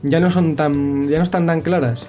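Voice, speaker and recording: A man's voice: on the high side, steady tone, moderate pace, warm tone, muffled recording, soft background music. A young man from hosting a casual video game chat, rambling with restarts and swearing. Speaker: podcast host.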